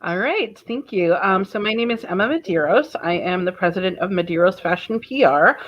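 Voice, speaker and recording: A woman's voice: medium pitch, lively intonation, fairly quick, dull audio. A woman from Rhode Island speaking casually and wryly as a panelist. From Rhode Island, United States. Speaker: panelist, PR company president. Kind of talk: casual self-introduction.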